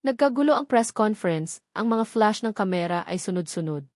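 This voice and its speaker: A woman's voice: mid-range voice, lively intonation, fairly quick, a little mellow, dry recording, clean studio sound. A woman with a Filipino accent reading a scripted dramatic story as its narrator.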